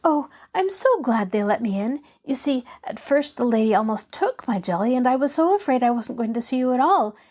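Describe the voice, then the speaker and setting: A woman's voice: on the high side, big pitch swings, fairly quick, few pauses, darkish tone. A woman voicing a cheerful, earnest little girl in a storybook, chattering on in an eager, artless, rambling way. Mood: eager, glad. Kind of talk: children's storybook character voice.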